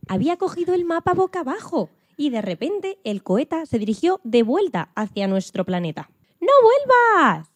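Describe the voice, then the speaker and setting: A woman playing an excitable farm hen astronaut who tells her own story with playful dramatic emphasis in a children's radio play. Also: mid-range voice, no echo. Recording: music behind the voice.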